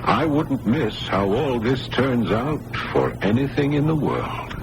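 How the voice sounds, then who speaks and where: A man acting a kindly psychiatrist in a radio drama, measured and reassuring. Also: medium pitch, animated. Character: kindly, reassuring male psychiatrist, Doctor Bob Kern. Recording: noisy old radio broadcast recording.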